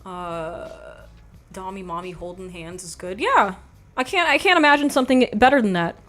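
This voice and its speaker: A young woman with a quiet voice hosting a livestream, reading chat and chatting frankly in a rambling way, with lots of "like". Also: mid-range voice, moderate pace. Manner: rambling, frank, laughs often, says "like" a lot. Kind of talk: casual chat.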